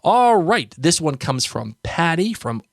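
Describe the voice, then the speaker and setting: A man's voice: a little high, big pitch swings, unhurried, creaky, dry recording, studio quality. A man with an American accent hosting a podcast in a playful, bantering way, chatting casually and reading listener emails aloud. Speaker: podcast host.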